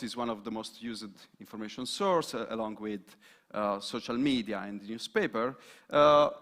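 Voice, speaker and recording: A man's voice: mid-range voice, fairly bright tone. A man presenting research results at a panel, hesitant, with many fillers and restarts. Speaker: student presenter.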